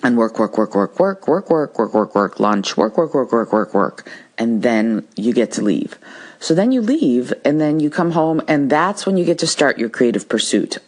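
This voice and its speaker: A woman speaks as the host of a solo podcast. Her voice is low and husky, and she explains her advice in a reflective, conversational manner. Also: big pitch swings, moderate pace, a little mellow.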